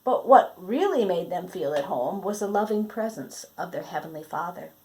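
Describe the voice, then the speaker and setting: A woman reading a children's book aloud in a dramatic, emphatic storytelling manner with pauses. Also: lowish voice, expressive, dark tone, poor audio. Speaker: storybook reader.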